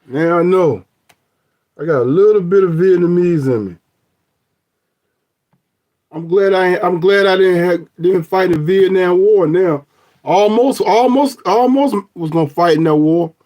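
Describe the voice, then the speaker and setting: Middle-aged guy, slow, kinda high voice, joking around into his phone. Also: warm tone. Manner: rambling, joking, with laughs and restarts. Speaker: vlogger. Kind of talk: casual review of DNA results.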